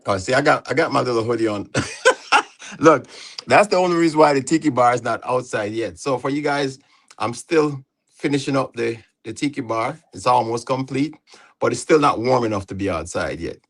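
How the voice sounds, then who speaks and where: A man's voice: medium pitch, lively intonation, normal speed, a bit bright. Easygoing man hosting a livestream. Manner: easygoing, laughs often, asks questions. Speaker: livestream host. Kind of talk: casual livestream chat.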